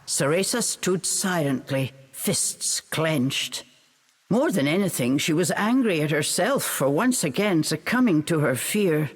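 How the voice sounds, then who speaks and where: A woman's voice: sits low, expressive, a little slow, slightly rough. A woman reading scripted storybook narration as a dramatic storyteller for a game.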